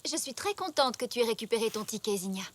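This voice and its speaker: A woman voicing a firm, no-nonsense zebra mother in a children's cartoon, brisk and reproving. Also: fairly high pitch, big pitch swings, very noisy. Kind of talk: French children's cartoon dubbing.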